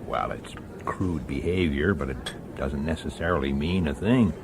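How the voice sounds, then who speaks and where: An actor playing a world-weary middle-aged police detective in a radio drama, speaking tersely and dryly, also as narrator. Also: male voice, very deep voice, moderate pace, noisy background. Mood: wary, low. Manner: terse, dry, clipped. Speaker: detective and first-person narrator.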